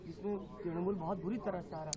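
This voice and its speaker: A man's voice: sits high, steady tone, fairly quick. A male reporter asking hesitant questions full of fillers. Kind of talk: press questioning. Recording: crowd noise around the reporter.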